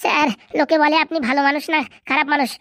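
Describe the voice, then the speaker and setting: Woman doing a cheeky cartoon boy schemer, Bengali accent, very high voice, eager colloquial patter, close dry recording. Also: little pitch movement, rapid-fire, a bit bright, dry recording. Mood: flattering, confident. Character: cheeky scheming young male cartoon sidekick, eager and flattering. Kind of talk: comedy cartoon dubbing.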